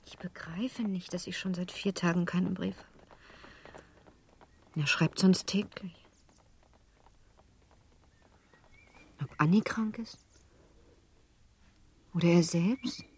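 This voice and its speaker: An actress playing an earnest, anxious, well-bred young wife in a German radio play, polite and restrained in manner. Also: female voice, on the low side, steady tone, measured pace, long pauses, darkish tone, slightly rough. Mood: worried. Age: young adult.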